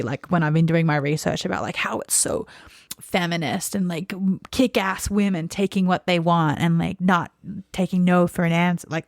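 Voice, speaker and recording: A woman's voice: lowish voice, dry recording. Blunt woman podcaster, dry close sound. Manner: opinionated and blunt, peppers talk with "like". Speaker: podcast co-host. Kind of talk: casual book chat.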